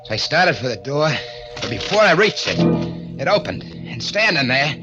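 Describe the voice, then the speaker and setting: A jittery small-time crook, talking in tough-guy slang and sounding cornered. He speaks at a middle pitch through a muffled old radio broadcast, with music playing behind him. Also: big pitch swings, normal speed. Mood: fearful. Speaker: radio drama lead and narrator. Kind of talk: 1940s radio acting.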